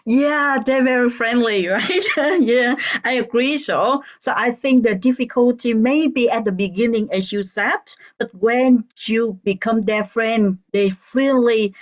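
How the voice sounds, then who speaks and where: A woman hosting an interview, asking unhurried questions and murmuring agreement. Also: on the high side. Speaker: interviewer host. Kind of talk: casual interview chat. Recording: dull lo-fi sound.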